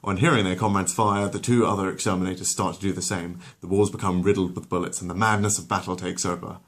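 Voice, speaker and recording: A man with a low voice tells a bedtime story. He narrates with drama and drops into casual, conversational asides. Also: little pitch movement, fast, fairly bright tone. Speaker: storyteller and channel host.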